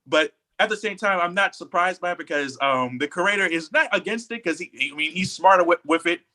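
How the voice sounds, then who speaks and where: A man's voice: sits high, a little fast, bright, forceful tone. A man co-hosting a podcast, talking casually and giving opinions with emphatic, repeated phrasing. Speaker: podcast co-host.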